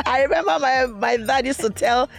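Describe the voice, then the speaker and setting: A woman from Cross River in Nigeria, speaking earnestly as a guest in an interview, with a loud, carrying voice. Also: high voice, moderate pace, gravelly. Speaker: interview guest, industry veteran. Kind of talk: conversational interview.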